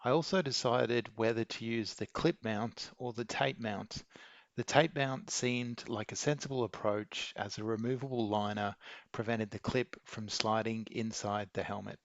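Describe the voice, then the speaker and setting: A man reading a scripted how-to narration in a measured, methodical way. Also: fairly low pitch, fairly even intonation, creaky, no echo, muffled recording. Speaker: video maker giving an installation tutorial.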